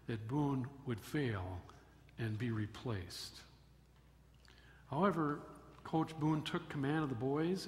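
Older male pastor, mid-pitched, measured sermon storytelling, pulpit mic in an echoing sanctuary. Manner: measured storytelling delivery with emphatic rises. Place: church sanctuary at a wooden pulpit. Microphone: pulpit microphone. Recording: long church reverb, faint room noise.

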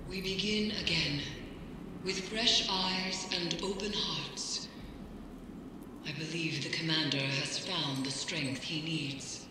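Slow, solemn female mystic. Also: deep voice. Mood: grave, resolute. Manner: measured, ceremonial. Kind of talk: video game briefing narration. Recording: cavernous reverb, noisy ambience.

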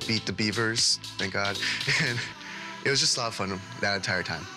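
Upbeat young man, low voice. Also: normal speed. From Hawaii. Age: young adult. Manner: upbeat, chatty. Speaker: student scholarship recipient. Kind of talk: filmed interview. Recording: music playing underneath.

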